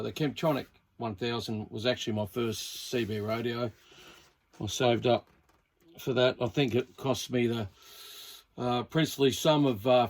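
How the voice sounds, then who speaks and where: A man of about sixty with an Australian accent, rambling casually with many uhs and ums as he shows off an old radio to his viewers. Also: medium pitch. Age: around 60. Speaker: radio hobbyist showing a CB set. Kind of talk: casual show-and-tell to camera.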